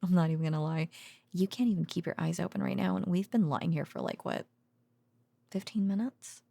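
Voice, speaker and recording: A woman's voice: on the low side, a little fast, vocal fry, warm tone, dry recording. A woman playing a tired, teasing, affectionate researcher girlfriend in an intimate bedtime audio roleplay, rambling hesitantly with wry sarcasm. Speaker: voice actor.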